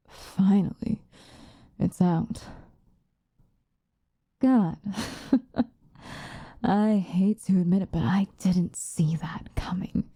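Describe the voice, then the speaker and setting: A woman playing a centuries-old female vampire, possessive and vengeful, in a soft, breathy, intense ASMR roleplay. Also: medium pitch, dry recording, clean studio sound. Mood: bitter, scornful anger. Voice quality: soft, breathy, often whispered. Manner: intense, dramatic, slow with long pauses.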